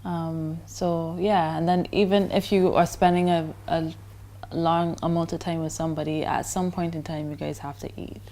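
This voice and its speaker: A woman's voice: sits low, little pitch movement, moderate pace, few pauses, dark tone. A young woman answering interview questions in a casual, rambling way.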